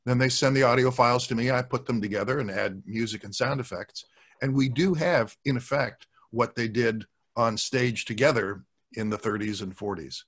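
A man's voice: medium pitch, moderate pace, a bit bright. An older man explaining his work as a guest, speaking into a desk microphone on a video call. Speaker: guest, audio drama producer. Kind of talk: explanatory talk.

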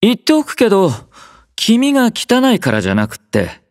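A man voicing an aloof, laid-back young soldier in an anime-style game, quietly caring, with dry, understated teasing. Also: high voice, wildly swooping pitch, normal speed, dry recording, heavily acted. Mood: matter-of-fact. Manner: languid, dryly teasing, understated. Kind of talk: Japanese anime-style game character voice lines.

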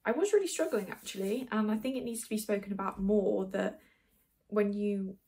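A woman's voice: mid-range voice, moderate pace, a little mellow, slightly rough. A twenty-year-old woman chatting casually while doing her makeup in a small bedroom, rambling with lots of fillers. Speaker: beauty vlogger. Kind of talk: casual get-ready-with-me chat. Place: small dim bedroom, indoors.

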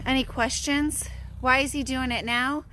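A woman's voice: steady tone, pushed and bright. An American woman chatting casually and unhurriedly to viewers on a live homestead vlog, recorded outdoors on a handheld phone. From Western New York, USA. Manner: unhurried, addressing viewers as guys. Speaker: homestead vlogger. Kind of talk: casual live-stream chat. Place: outdoors on a farm driveway. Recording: noisy outdoor phone, tractor engine. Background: engine rumble and outdoor noise.

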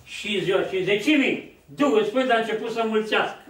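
A man's voice: high voice, a bit bright. An older man preaching at a lectern, telling stories and acting out the lines of people in them. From Romania. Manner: storytelling, acts out quoted dialogue, gestures widely. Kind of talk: sermon. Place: church hall, at a lectern. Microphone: far camera. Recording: echoey hall from a distance.

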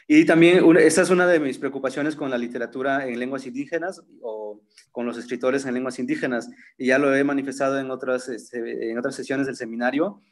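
A man's voice: medium pitch, fairly even intonation, fairly quick. A man in his thirties, a native Zapotec speaker, talking thoughtfully in a seminar over a laptop video call, with many fillers and restarts. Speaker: seminar participant. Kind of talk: reflective conversational talk. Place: room at home with framed pictures. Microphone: laptop webcam on a video call.

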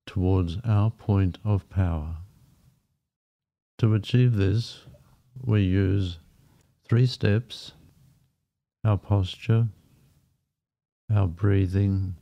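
A man guiding a meditation in a gentle, unhurried manner, leaving long pauses between short phrases. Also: low voice. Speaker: meditation guide. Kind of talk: guided meditation, softly spoken. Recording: dull, muffled lo-fi sound. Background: background music.

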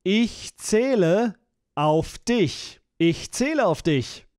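A man's voice: sits high, expressive, drawn-out words, darkish tone, dry recording, studio quality. A man reading phrases for a language course, splitting and repeating them with careful enunciation. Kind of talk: slow, clear phrase-by-phrase repetition.

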